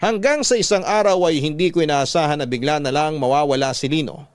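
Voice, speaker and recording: A male storytelling host narrates a letter in classic dramatic radio style. His voice sits a little high for a man, and the sound is very dry, like a studio. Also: a little fast, clean tone, no room sound.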